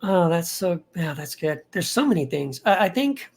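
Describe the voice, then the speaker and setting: A man who is an author, guesting on a podcast and talking in a relaxed, rambling, easygoing way. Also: on the high side, moderate pace, breathy edge. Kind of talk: casual interview chat.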